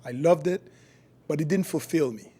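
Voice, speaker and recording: A man's voice: fairly high pitch, normal speed, mellow, slightly rough. A middle-aged man from Haiti, a physician guest explaining his work and views in a podcast interview. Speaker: guest, functional medicine physician.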